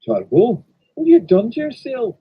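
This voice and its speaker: A hearty storybook diesel engine, voiced by a man, speaks in a husky, very swooping voice. He is easygoing and willing, on slightly muffled audio. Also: extremely high pitch, wildly swooping pitch, normal speed, dry recording, voice pushed up, heavily acted. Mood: concerned. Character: obliging, easygoing adult male diesel engine.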